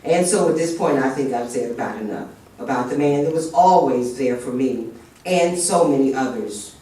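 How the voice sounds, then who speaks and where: A man's voice: medium pitch, lively intonation, normal speed, dark tone. A man giving a formal memorial tribute in an earnest, reverent manner. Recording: echoey hall from far off.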